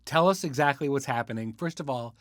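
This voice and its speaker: A man's voice: medium pitch, fairly quick, fairly bright tone, dry recording, studio quality. A man hosting a podcast, talking casually as he interviews a guest. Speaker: podcast host.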